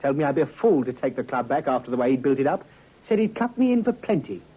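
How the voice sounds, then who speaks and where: A man's voice: medium pitch, big pitch swings, quick pace, slightly rough. An actor, a man, playing a cocky, sardonic ex-convict suspect with glib, slangy talk in a vintage radio drama. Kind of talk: 1950s radio drama acting. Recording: muffled old radio recording.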